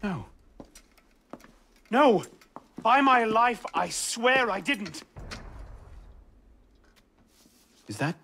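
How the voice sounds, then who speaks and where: An actor playing an earnest, defensive young fugitive in a game cutscene, urgent and emotional. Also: male voice, sits high, expressive, slow, creaky, sharp tone, breathy edge, exaggerated voice. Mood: anguished, defensive. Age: young adult. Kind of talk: video game cutscene acting.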